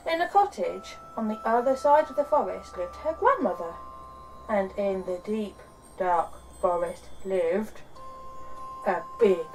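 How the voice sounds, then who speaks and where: A woman hosting and narrating a children's bedtime story in an expressive, deliberate, dramatic way. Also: mid-range voice, recorded from a distance, low-quality recording.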